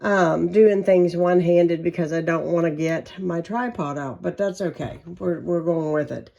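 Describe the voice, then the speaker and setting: A woman, chatting casually to viewers while cooking at home, her voice slightly hoarse and her talk rambling with many ums. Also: fairly low pitch, moderate pace, dark tone, highs cut off. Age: 40s. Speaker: home vlogger. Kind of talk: casual catch-up and cooking chat.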